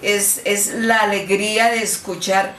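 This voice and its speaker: An echoey, slightly distant recording of an older woman in tears. She talks slowly and haltingly, as in an emotional interview. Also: mid-range voice, steady tone, a bit bright. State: tearful. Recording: echoey room, distant, faint noise.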